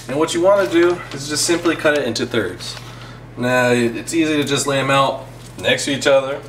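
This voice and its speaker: A young man hosting a mock cooking show in his home kitchen, telling absurd made-up facts about the food in a deadpan way. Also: mid-range voice. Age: young adult. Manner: deadpan, absurd tall tales told straight. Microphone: camera mic from a few feet away. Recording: echoey kitchen, distant camera mic, noisy.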